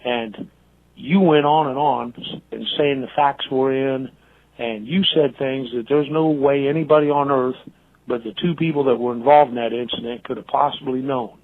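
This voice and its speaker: A man's voice: medium pitch, fairly even intonation, moderate pace. Tinny phone-line American male caller. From United States. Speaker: voicemail caller. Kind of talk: informal critical voicemail message. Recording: tinny narrow-band phone voicemail line.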